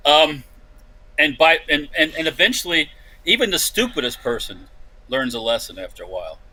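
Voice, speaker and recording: Blunt man with a bright voice. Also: fairly high pitch, expressive, normal speed, rough recording. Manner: blunt, trailing off into mumbles. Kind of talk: casual chat.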